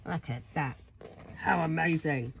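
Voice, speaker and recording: A woman reads a children's bedtime story aloud in a soft, husky voice, affectionate and playful, full of wonder. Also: deep voice, measured pace, slight background noise. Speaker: storybook reader.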